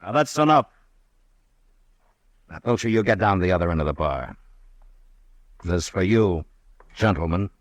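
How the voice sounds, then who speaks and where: An actor playing a calm, firm frontier marshal in a 1950s radio drama. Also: male voice, dry recording. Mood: commanding. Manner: measured, firm, low-key.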